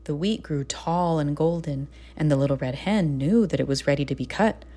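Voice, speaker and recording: A woman reading a children's storybook aloud with expressive, deliberate storytelling. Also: deep voice. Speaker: storybook narrator. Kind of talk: scripted children's read-aloud narration.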